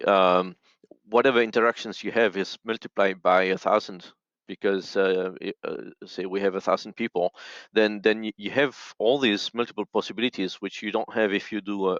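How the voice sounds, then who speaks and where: Man, non-native speaker, low pitch, halting conversational answers, dry but dull lo-fi sound. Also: a bit bright, no echo. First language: not English, non-native speaker. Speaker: audio and radio expert, podcast guest. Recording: dull, lo-fi call audio.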